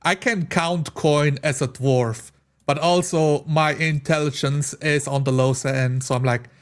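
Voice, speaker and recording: Slightly higher-voiced man chatting casually. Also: steady tone, a little slow. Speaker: tabletop roleplaying player. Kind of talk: casual chat.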